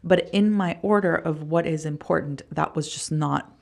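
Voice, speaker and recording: A woman from Costa Rica speaking as a podcast guest in a casual, halting, emphatic interview style. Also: sits low, vocal fry. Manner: informal, halting, emphatic with repeated words. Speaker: podcast guest, venture fund founder.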